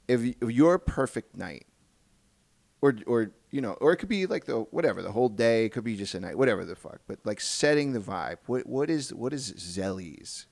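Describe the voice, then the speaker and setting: A man's voice: medium pitch, lively intonation, gravelly, a little mellow, no room sound. A man hosting a casual podcast interview, encouraging and repeating himself as he feels out his phrasing. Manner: encouraging, repetitive, halting phrasing.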